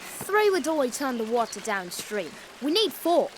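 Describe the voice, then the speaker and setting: A woman's voice: sits high, big pitch swings, moderate pace, a little hiss or hum, rough recording. A woman playing a bossy, teasing, hard-working village child in a historical audio drama, mocking and incredulous.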